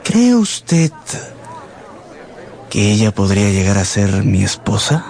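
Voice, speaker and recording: Oily, slow-talking schemer. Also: male voice, fairly low pitch, big pitch swings, a little mellow, some chatter behind, heavily acted. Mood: sly, confiding. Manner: unctuous, hesitant, sighing false pity. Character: falsely humble, oily, scheming male clerk. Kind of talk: Spanish-language radio drama acting.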